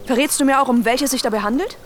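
An actress playing a hurt, reproachful wife on a wilderness trip in a naturalistic radio drama, with a probing, sarcastic manner. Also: female voice, sits high, quick pace, slight background noise, close to an everyday voice. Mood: bitter, sarcastic.

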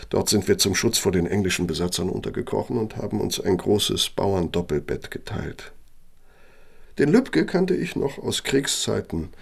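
Gravelly, deep-voiced aging self-righteous architect. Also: male voice, animated, full character voice. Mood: genial, boastful. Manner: deliberate, emphatic, self-righteous. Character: aging male architect, self-justifying, bitter former Nazi planner. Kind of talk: German radio play monologue and dialogue.

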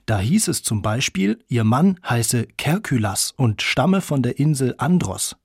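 A man with a slightly husky voice narrating a scripted radio feature in an articulate, clearly structured way. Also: mid-range voice, very animated, a little slow, dry recording, clean studio sound. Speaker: radio documentary narrator and reporter. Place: dry studio.

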